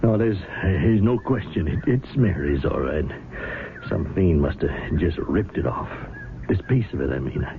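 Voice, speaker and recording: An actor playing an anxious, grieving middle-aged father in a 1970s radio drama, halting and stammering with emotion. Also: deep voice, animated, creaky, exaggerated voice. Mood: shaken grief. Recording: muffled, noisy old radio broadcast.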